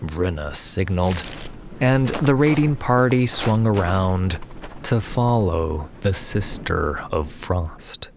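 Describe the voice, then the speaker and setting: A man narrating a fantasy audiobook in a dramatic, measured and solemn scripted style. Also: deep voice, expressive, noisy background. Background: music.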